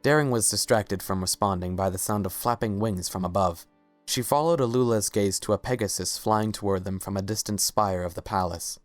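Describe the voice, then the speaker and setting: A man's voice: lowish voice, fairly quick, no room sound, faint music behind. A man narrating a scripted story as a dramatic reading.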